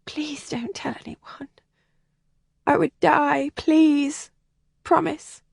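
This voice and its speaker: Woman reading as a fragile shy young lady, high breathy voice, trembling and pleading, close dry storybook sound. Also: expressive, measured pace, dry recording, full character voice. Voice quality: breathy, trembling, often whispered. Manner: pleading and anxious. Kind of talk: audiobook character voicing.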